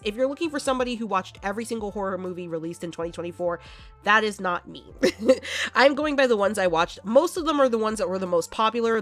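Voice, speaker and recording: A young woman hosting a casual horror movie vlog, chatty and quick to laugh, sounding a bit congested from allergies. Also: on the low side, fairly bright tone. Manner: chatty, laughs often, many fillers.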